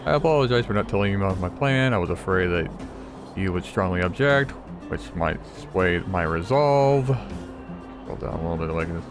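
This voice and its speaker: Low-voiced man casually playing through a game. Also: expressive, fairly quick. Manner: offhand, stumbles while reading. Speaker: gaming video host. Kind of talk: casual playthrough commentary and reading aloud. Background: game music.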